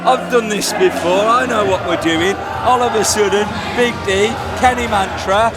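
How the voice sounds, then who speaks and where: A man's voice: high-pitched, normal speed, over loud music. A man with an English accent giving chatty live commentary as a wrestling commentator. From England. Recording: noisy live hall, loud crowd. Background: loud crowd and hall noise.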